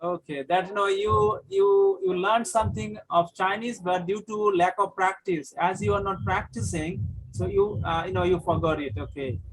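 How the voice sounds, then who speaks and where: High-voiced man hosting a video call. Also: steady tone, normal speed, hardly any pauses, slightly rough, rough recording. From India. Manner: hesitant, repeats himself. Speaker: host. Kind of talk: casual online group chat.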